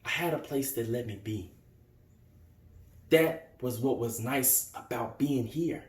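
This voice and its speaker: A man's voice: sits high, very animated, unhurried, distant mic. A man performing a dramatic stage monologue as a wounded, indignant young man, theatrical and emphatic. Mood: hurt, subdued. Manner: theatrical, emphatic, swinging from outburst to hushed hurt. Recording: echoey room.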